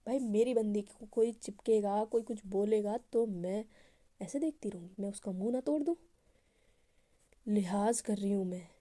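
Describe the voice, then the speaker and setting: A woman's voice: mid-range voice, warm tone, natural voice. Woman voicing a furious, jealous young woman. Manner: heated, accusing, rapid outbursts.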